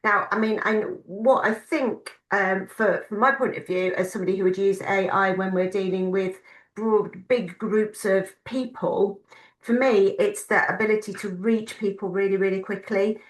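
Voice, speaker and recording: A woman's voice: medium pitch, steady tone, moderate pace, roomy sound, low-quality recording. A woman moderating a panel, putting audience questions to a colleague with many hesitations. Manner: hesitant, many ums while reading questions. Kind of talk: conversational Q&A.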